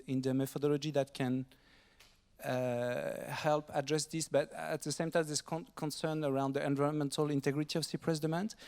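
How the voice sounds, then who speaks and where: A man's voice: mid-range voice, darkish tone. A man in the audience asking a panel a question at a conference, hesitant with many fillers. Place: conference hall. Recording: long hall reverb.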